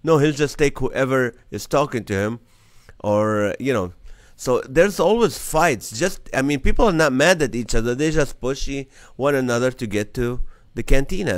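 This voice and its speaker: A man's voice: medium pitch, dry recording. A man who grew up in the Middle East, hosting a casual live-stream comedy chat and laughing between his jokes. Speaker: comedian host.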